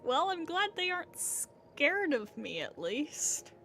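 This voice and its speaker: High-voiced woman game streamer, wry. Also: big pitch swings, takes it slowly, background noise. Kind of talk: casual game stream reactions. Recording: game music behind the voice.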